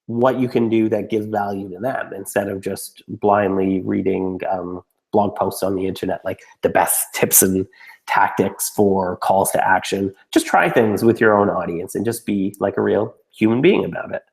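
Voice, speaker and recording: A man getting over a cold, a little raspy and snuffly, answering student questions in a casual, frank Q&A. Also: on the low side, normal speed, a little mellow. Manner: blunt, frank, with mild swearing and restarts. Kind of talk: casual Q&A talk.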